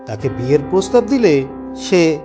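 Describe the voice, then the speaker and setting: A man playing a knowing village gentleman in a horror cartoon, who explains local ghost lore in an emphatic, theatrical way. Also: on the high side, big pitch swings, normal speed, music in the background. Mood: grave storytelling. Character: knowing village gentleman, reassuring yet scolding, telling a ghost tale. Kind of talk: dramatic cartoon story dialogue.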